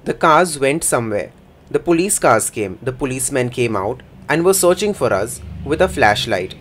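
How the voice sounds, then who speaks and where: A man voicing a first-person interpretation for a signing speaker in a plain, measured way. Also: medium pitch, lively intonation, a bit bright, a little hiss or hum.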